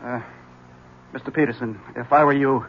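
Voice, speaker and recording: An actor playing an ominous, evasive husband who hands out veiled threats in a 1970s radio drama. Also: male voice, mid-range voice, fairly even intonation, moderate pace, a little hiss or hum, lightly acted. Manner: menacing, evasive. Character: ominous cryptic married man. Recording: dull lo-fi 1970s radio broadcast.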